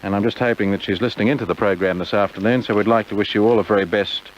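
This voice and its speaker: A man's voice: fairly low pitch, fairly even intonation, racing. A man presenting an easygoing music programme on the radio, with greetings to listeners. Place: radio studio. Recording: dull old radio tape, faint noise.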